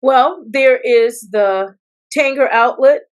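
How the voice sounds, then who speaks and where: An American woman from South Carolina answering interview questions as a political candidate, speaking in deliberate phrases with pauses. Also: high voice. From South Carolina, United States. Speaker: political candidate, attorney and former judge.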